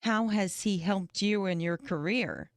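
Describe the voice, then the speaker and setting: A woman reading the interviewer's part in a scripted practice job interview, asking clear, even questions. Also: medium pitch, a little slow, dry recording, studio quality.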